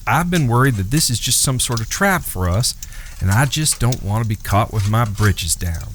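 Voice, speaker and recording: An actor playing a wary, loyal younger outlaw brother in a Western audio drama, folksy and plain-spoken, with an American Southern accent. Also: male voice, lowish voice, a little hiss or hum, close to an everyday voice.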